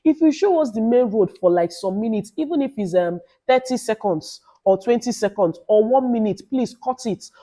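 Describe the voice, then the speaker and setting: A Nigerian woman with a loud voice and a Nigerian accent gives informal advice as a vlogger, in an emphatic, instructive way with repeated phrases. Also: a little high, lively intonation, normal speed, hardly any pauses. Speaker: YouTuber giving advice to new creators. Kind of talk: informal advice vlog with Nigerian Pidgin asides.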